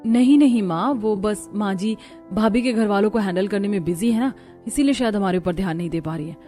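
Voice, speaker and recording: A woman's voice: medium pitch, a little fast, mellow, faint music behind, natural voice. A woman voicing a meek, dutiful young daughter-in-law in a cartoon story. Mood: meek, polite.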